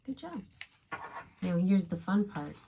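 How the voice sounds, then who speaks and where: A woman's voice: on the low side, very animated, slow pace, warm tone, slight background noise. A woman teaching a craft step by step to young children, speaking as a preschool teacher in simple, encouraging phrases.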